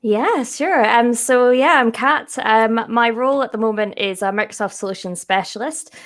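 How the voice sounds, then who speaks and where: A woman's voice: fairly high pitch, moderate pace. Chatty Scottish woman in casual interview. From Scotland. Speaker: podcast guest, tech solutions specialist.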